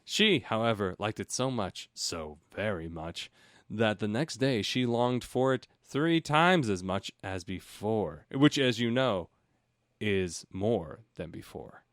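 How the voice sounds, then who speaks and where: Male comedy narrator, animated and bright, storybook reading with joking asides, very dry close sound. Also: lowish voice, takes it slowly, dry recording. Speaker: host and narrator of parody audiobook show.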